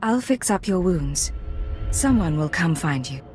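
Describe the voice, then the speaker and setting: Composed, lowish-voiced female agent. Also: animated, unhurried, darkish tone, faint music behind. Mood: cool, firm. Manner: cool, clipped, controlled. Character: composed, resolute female imperial secret agent. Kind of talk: video game voice acting.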